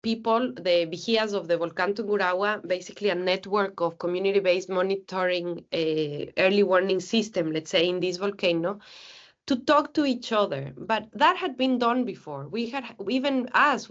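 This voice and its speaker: A woman's voice: medium pitch, highs cut off. A woman from Ecuador giving an earnest, reflective academic seminar talk, often restarting her phrases.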